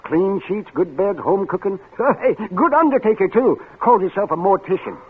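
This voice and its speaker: A folksy small-town gas station attendant in an old radio play, with a high, husky voice. He rambles in clipped country phrases and chuckles to himself. The sound is a muffled vintage broadcast. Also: expressive, normal speed. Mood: folksy, amused. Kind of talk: 1950s radio drama acting.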